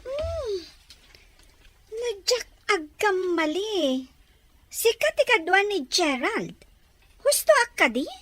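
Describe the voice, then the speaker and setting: A woman's voice: very high-pitched, big pitch swings, takes it slowly, pauses often, a bit bright, no room sound, heavily acted. An actress with a Filipino accent playing a young woman in an emotional drama, hot-tempered but remorseful and wounded in love. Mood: polite, curious. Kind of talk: emotional audio drama acting.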